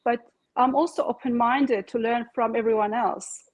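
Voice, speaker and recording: A woman's voice: on the high side, steady tone, normal speed. A woman, a real estate agent speaking as an interview guest, earnest with hesitant fillers. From Kurdish-Persian background. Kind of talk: casual interview chat.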